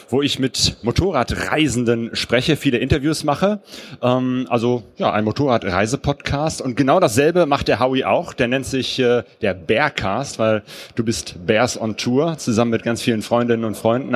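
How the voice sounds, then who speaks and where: A man from the Cologne area co-hosting a live motorcycle travel podcast on stage, easygoing and joking. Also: medium pitch. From Germany, Cologne area. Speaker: podcast host.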